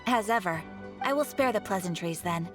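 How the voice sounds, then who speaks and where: A woman's voice: mid-range voice, lively intonation, moderate pace, close to an everyday voice. A woman playing a courteous, businesslike guild receptionist in a video game, speaking formally, with music behind. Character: polite, businesslike female adventurers' guild receptionist. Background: faint game music.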